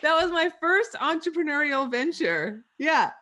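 A woman guest on a podcast, a money mindset coach, talks in a lively, chatty way. She fills her stories with "like" and laughs often. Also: high voice, a little slow. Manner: lively storyteller, laughs often, lots of likes. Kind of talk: casual interview chat.

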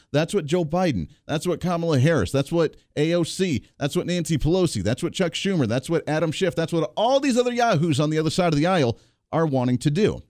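A man's voice: fairly high pitch, darkish tone, dry recording, clean studio sound. A man hosting a political talk radio show, giving opinionated and sarcastic commentary between played clips. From Kansas area, United States. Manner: opinionated, sarcastic, rapid asides.

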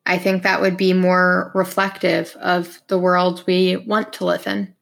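A young woman co-hosting a podcast, talking in a relaxed, casual way with lots of fillers. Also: fairly low pitch, a little slow, creaky. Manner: relaxed, casual, many "like" and "you know" fillers. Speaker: podcast co-host.

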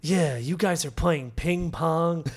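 Higher-voiced man riffing casually. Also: takes it slowly. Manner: riffing, interjecting, repeats phrases. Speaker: podcast host. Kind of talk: casual comedic chat.

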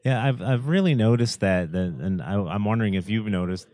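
Low, mellow-voiced male co-host. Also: fairly quick, no echo. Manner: earnest, repeats and restarts words. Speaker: podcast co-host. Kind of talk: casual interview chat.

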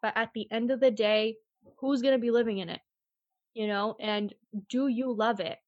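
A woman's voice: fairly high pitch, normal speed, no room sound, dull audio. Young woman chatting, dry close sound. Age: 20s. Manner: blunt, animated retelling with quoted asides and frequent "like". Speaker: real estate agent, guest. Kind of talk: casual chat, interview answers.

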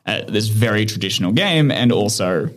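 A man's voice: fairly low pitch, lively intonation, moderate pace. A man who founded an online chess training platform, speaking reflectively as a guest in a casual interview and often laughing as he searches for words.

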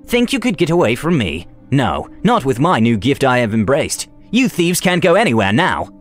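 Casting: gloating male wizard villain, played by a male narrator, sadistic and menacing, swooping pitch, fantasy tabletop tale over music. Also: huge pitch swings. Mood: gloating menace. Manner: taunting, drawn-out menacing delivery. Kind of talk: dramatized tabletop fantasy storytelling.

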